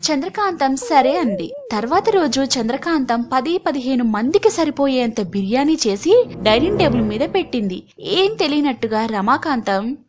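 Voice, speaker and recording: High-pitched woman narrating a story. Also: big pitch swings, normal speed, crowd noise. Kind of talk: scripted moral-story narration.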